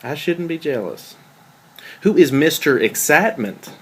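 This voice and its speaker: A man's voice: fairly high pitch, animated, measured pace, a bit bright, slightly rough, exaggerated voice. Man acting as a jealous, probing boyfriend. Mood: suspicious, probing. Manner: pointed, sardonic questioning. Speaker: actor in a script table read. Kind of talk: naturalistic dramatic table read.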